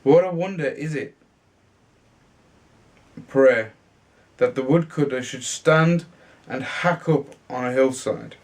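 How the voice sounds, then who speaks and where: A man's voice: medium pitch, slow pace, pauses often, a little mellow. A man reading a storybook, voicing scornful older brothers who tease their sibling in a fairy tale. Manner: scornful, teasing.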